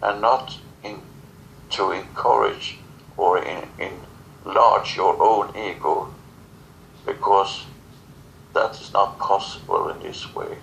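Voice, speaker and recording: A man who speaks English as a second language, giving solemn spiritual teaching in halting, short phrases as a channeler. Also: low voice, vocal fry, fairly bright tone, a little hiss or hum, poor audio. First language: not English. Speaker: spiritual channeler answering questions.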